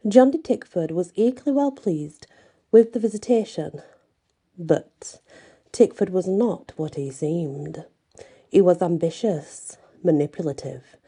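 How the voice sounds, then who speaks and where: A woman narrating a scripted folk tale in a measured storybook manner, with deliberate pauses between phrases. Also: fairly low pitch, mellow.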